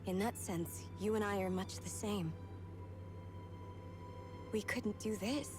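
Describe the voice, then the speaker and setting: A composed young maiden of a fantasy video game, played by a woman, speaking at a middle pitch. She is earnest and dutiful, with a sincere way of talking. Music is clearly heard underneath. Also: takes it slowly, lightly acted. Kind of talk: video game cutscene voice acting.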